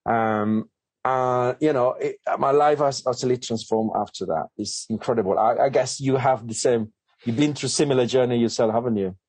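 A man's voice: medium pitch, moderate pace, darkish tone, dry recording. A man telling his own story as a guest in an interview, in a chatty way with many fillers and restarts. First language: not English. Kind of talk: conversational interview.

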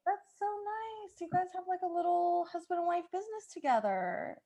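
A woman's voice: extremely high pitch, expressive, moderate pace, a crowd in the background, pitched above the performer's own voice. A woman playing a flustered, suspicious customer in an improvised comedy sketch, hesitant and then indignant. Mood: polite, hesitant. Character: flustered, suspicious female dog-sitting customer phoning in.

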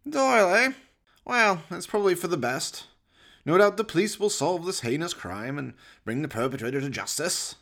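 Pompous evil mad scientist, high-pitched. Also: male voice, lively intonation, normal speed, a bit bright. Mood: pompous, dismissive. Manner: theatrical, pompous, sneering. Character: evil scheming mad scientist doctor, mocking and prone to rage. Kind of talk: melodramatic horror radio play acting.

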